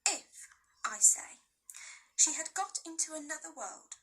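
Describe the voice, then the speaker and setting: A woman voicing an old, dry, patient male professor in a storybook reading, measured and soft. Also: high-pitched, full character voice, rough recording.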